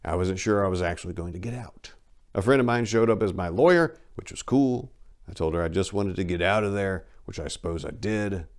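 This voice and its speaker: Low-voiced man, wry dramatic reader. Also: fairly quick. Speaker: host reading an autobiography aloud. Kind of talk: dramatic first-person audiobook reading with casual asides.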